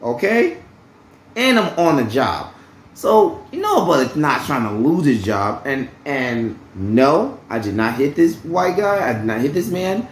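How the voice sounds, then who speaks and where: A man's voice: on the high side, big pitch swings, a little slow. Guy ranting about his job, keeps saying okay?, swears a lot, roomy echo. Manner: rambling, repeats himself, keeps asking "okay?"; blunt, uses profanity freely. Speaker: vlogger telling a work story. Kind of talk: casual storytelling vlog.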